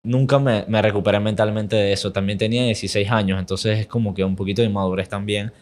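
A man's voice: fairly low pitch, quick pace. Young Panamanian man chatting casually. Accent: Panamanian Spanish. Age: young adult. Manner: relaxed, laughs often, repeats himself. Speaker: guest. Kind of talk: casual podcast interview.

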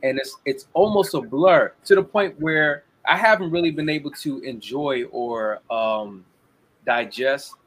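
Animated young man telling a story. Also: mid-range voice, a little slow. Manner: animated storyteller, acts out quotes. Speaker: guest. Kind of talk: casual interview talk. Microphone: video call.

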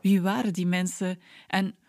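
A woman's voice: fairly low pitch, level tone, unhurried, a little mellow. A woman with a Flemish accent narrating a personal documentary from a script, as its host.

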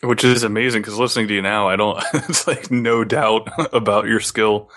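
A man in his early thirties with a somewhat low voice. He talks as a podcast co-host, putting questions to a guest in relaxed, everyday conversation. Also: moderate pace. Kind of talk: casual interview chat.

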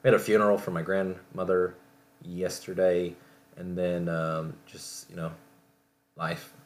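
A man hosting a podcast in casual solo talk, rambling and halting as he often corrects himself. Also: low voice, little pitch movement. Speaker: podcast host.

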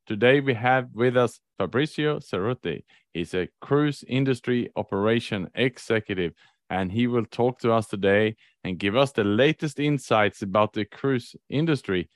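A man's voice: medium pitch, normal speed, dry recording, clean studio sound. A man hosting a business podcast, asking a guest polite, welcoming questions. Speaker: podcast host. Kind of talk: podcast interviewing.

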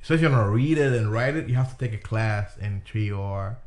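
A man from Ghana in a casual conversation, talking in a relaxed, rambling way with lots of fillers and restarts. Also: fairly low pitch, brisk. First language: a Ghanaian language. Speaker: interviewee.

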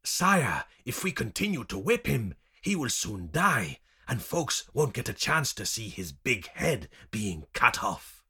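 A man's voice: mid-range voice, sing-song, unhurried, hardly any pauses, sharp tone, slightly rough, voice pushed up, exaggerated voice. A man voicing an obedient, deferential male henchman in a story. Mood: cautioning. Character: obedient male henchman reporting to his lord.

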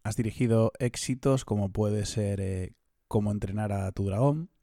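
Male interviewer, low and gravelly, dark tone, eager rambling questions. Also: moderate pace, dry recording, studio quality.

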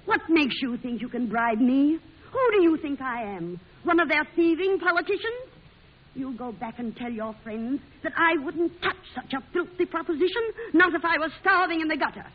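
An actress playing a fiery, sharp-tongued frontier-bred woman newspaper publisher in an old-time radio drama. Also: high voice, animated, moderate pace. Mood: indignant, defiant. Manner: sharp-tongued, indignant, defiant. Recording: muffled, lo-fi old radio recording.

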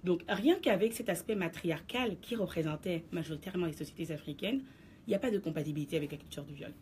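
A woman with a somewhat low voice delivers her radio column in a studio. She explains her argument to listeners in a firm, lecturing manner, with a faint hiss behind her. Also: quick pace, darkish tone. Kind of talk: radio commentary segment.

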